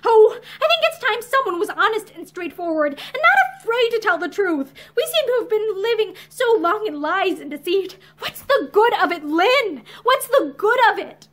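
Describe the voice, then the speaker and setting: A woman's voice: very high voice, expressive, a little fast, a bit bright. A woman voicing an earnest, tearful young woman in a story, outspoken, with an emotional, pleading delivery. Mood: passionate pleading.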